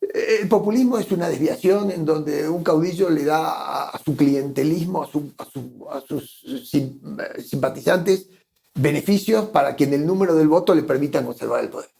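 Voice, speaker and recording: Gravelly, mellow Argentine man. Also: fairly high pitch, normal speed. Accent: Argentine Spanish. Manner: thoughtful, repeats words while searching for phrasing. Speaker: guest economist. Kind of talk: conversational interview.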